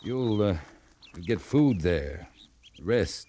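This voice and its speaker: Low-voiced, stern frontier cavalry captain. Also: male voice, expressive, warm tone. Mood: restrained, reassuring. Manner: formal, restrained, halting. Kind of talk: old-time radio western acting. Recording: noisy old radio drama recording.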